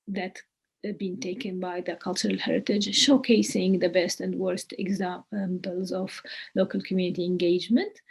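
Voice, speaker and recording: Woman with a husky, gravelly voice. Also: mid-range voice, darkish tone. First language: Arabic. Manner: halting, many fillers. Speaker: course mentor, archaeologist. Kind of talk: introductory online lecture.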